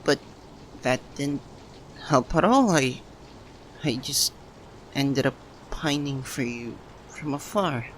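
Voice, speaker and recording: A man acts out a young man hopelessly in love with a childhood best friend, in an intimate audio roleplay. The delivery is slow and broken by pauses, with a medium-pitched voice that rises and falls freely with feeling. Some background noise sits behind the voice. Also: lively intonation, rough recording. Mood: anguished, frustrated. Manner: halting, breaks phrases with long pauses. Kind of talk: ASMR roleplay, emotional dramatic monologue.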